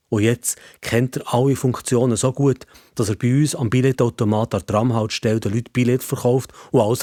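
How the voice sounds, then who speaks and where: A man's voice: mid-range voice, warm tone, no echo. Swiss German male storyteller, dry close sound. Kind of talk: scripted children's story narration.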